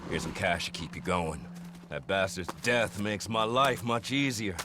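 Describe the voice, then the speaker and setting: An actor playing a cold, mercenary outlaw gang boss in a Western game, with an American accent and a folksy, menacing drawl. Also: male voice, low-pitched, unhurried, very noisy. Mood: cold, scheming. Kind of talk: game cutscene acting.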